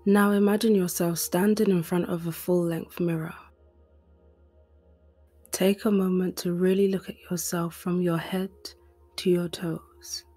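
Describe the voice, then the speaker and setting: A woman reading a guided meditation in a soft, breathy, gentle and soothing voice. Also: lowish voice, level tone, unhurried. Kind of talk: guided meditation, scripted narration. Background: music.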